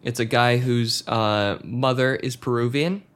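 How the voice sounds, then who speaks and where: A man's voice: mid-range voice, steady tone, measured pace, clean tone. A man co-hosting a podcast in casual chat, explaining things easygoingly with hesitant fillers. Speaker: podcast co-host.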